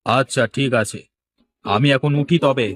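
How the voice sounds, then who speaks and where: A man playing a smooth, evasive fish trader from the city in a cartoon, politely businesslike and reassuring. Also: medium pitch, measured pace, fairly bright tone, natural voice. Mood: brushing off. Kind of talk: cartoon dialogue acting.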